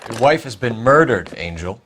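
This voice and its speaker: An actor playing an earnest 1940s police detective who asks measured, courteous questions. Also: male voice, mid-range voice, very animated, fairly bright tone, slight background noise. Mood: probing. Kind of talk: game cutscene voice acting.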